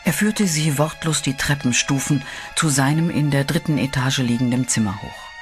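A man reading scripted horror narration in a measured, dramatic way. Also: medium pitch. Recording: music bed under voice.

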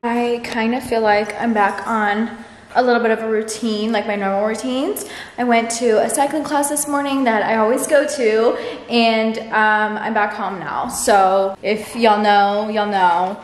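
A woman's voice: on the high side, steady tone, measured pace, soft background music. A woman in her early twenties chatting casually to camera in an informal, filler-heavy way, as a lifestyle vlogger. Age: early 20s. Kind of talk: casual vlog chat to camera. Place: large, mostly empty room in a house. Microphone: camera at arm's length or on tripod. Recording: echoey bare room with faint noise.

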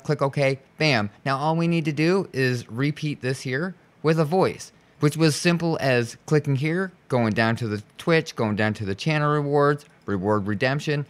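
A man hosting a software tutorial, explaining each step in an informal, instructional way. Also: mid-range voice. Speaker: tutorial host. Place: indoors, voice-over on a screen recording.

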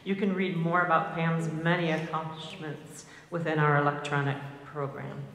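A middle-aged woman with a loud voice speaks into a microphone on a concert stage. Her tone is low for a woman, and she talks in a chatty way, with many ums. The large hall rings around her, with a faint hiss underneath. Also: little pitch movement, normal speed. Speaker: band conductor. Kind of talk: introducing a guest conductor. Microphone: mic on a stand.

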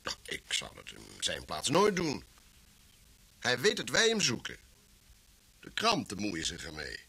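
Animated, swooping police detective. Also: male voice, on the low side, unhurried, gravelly, husky, exaggerated voice. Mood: speculative, animated. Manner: hesitant repetitions, conversational. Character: excitable, chatty male police detective, eager to theorise. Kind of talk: Dutch radio drama acting.

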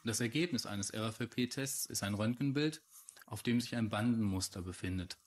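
Actor as male forensic expert, standard German, fairly low pitch, methodical documentary explanation. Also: normal speed, close to an everyday voice. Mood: explanatory. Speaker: dub voice of a forensic scientist.

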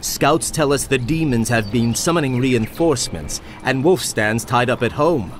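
An actor playing a wry, good-natured soldier in a video game, easygoing and frank. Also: male voice, medium pitch, animated, normal speed, slight background noise, close to an everyday voice. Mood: earnest. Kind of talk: video game cutscene acting.

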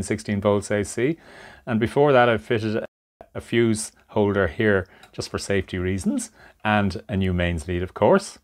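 A man giving unscripted hands-on narration at a workbench, explaining things step by step in a measured way. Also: on the low side. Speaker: hobbyist presenter of a radio restoration. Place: indoor workshop at a workbench.

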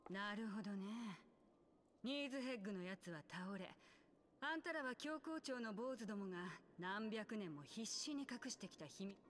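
A woman playing a brash, streetwise young rebel leader in a game, with rough, blunt, scornful speech. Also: medium pitch, expressive, quick pace, faint music behind, close to an everyday voice. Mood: cool scorn. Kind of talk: Japanese game cutscene acting.

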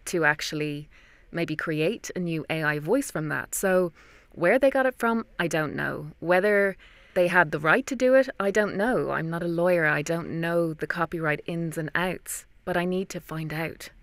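A woman's voice: lowish voice, normal speed, fairly bright tone, dry recording. A young woman with an Irish accent, a voice actor telling her story conversationally in an interview, close on a studio mic. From Ireland. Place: small padded recording booth. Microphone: close studio microphone, dry.

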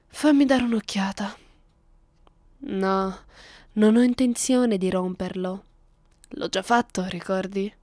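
A woman's voice: medium pitch, darkish tone, dry recording, close to an everyday voice. A woman playing a cruel, seductive vampire mistress in an ASMR roleplay, speaking commandingly and often close to a whisper. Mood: stern. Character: domineering, cruel, seductive vampire mistress addressing her human captive.

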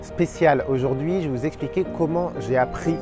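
A man in his thirties who hosts a language channel, explaining his tips to camera in an energetic, expressive way. He is outdoors by a park pond and wears a lapel mic. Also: on the high side, brisk, music in the background. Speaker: Spanish teacher and channel host.

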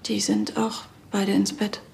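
A woman's voice: medium pitch, level tone, a little slow, vocal fry, a little mellow, a little hiss or hum. Subdued woman in her thirties.

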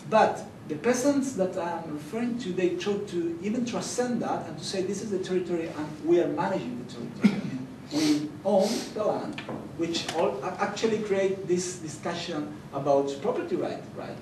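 A young Chilean man with a Spanish accent talks earnestly on a conference panel, stumbling and restarting as he goes. His voice sits a little high for a man. It comes from a distance in a reverberant hall with steady background noise. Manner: earnest, halting, with many fillers and restarts. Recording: echoey hall, distant mic, noisy.

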